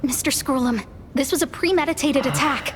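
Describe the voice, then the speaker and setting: A woman's voice: sits high, normal speed, big-room sound, soft background music, lightly acted. A woman voicing an earnest, anxious young female officer in a video game cutscene. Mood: earnest, flustered.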